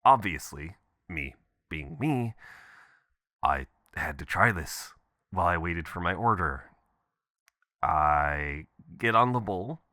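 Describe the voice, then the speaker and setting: An affectionate, adventurous boyfriend, played by a man, leaves an intimate voicemail in a deep voice with a bright, crisp edge. He talks casually and playfully, taking his time. The sound is close and dry, in the manner of ASMR roleplay audio. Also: dry recording, exaggerated voice. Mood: sheepish, amused. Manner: casual, expressive, chuckling, swearing. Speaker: audio performer.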